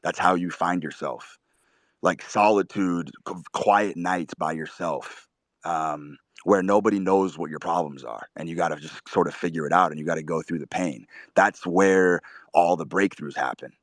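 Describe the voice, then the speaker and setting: A man with a very low, deep voice delivers blunt, swear-filled life advice like a preacher. He pushes his points hard and cuts people off. The sound is dry and close, with no room around it. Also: moderate pace, no echo. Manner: forceful, swears constantly, preachy. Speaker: show host. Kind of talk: blunt profane motivational talk.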